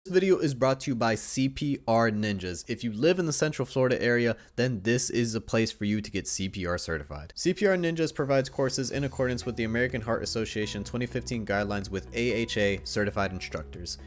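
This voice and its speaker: A man reading a scripted sponsor ad in a steady delivery. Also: mid-range voice, fast, hardly any pauses. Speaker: ad reader. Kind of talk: read sponsor ad. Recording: faint music underneath.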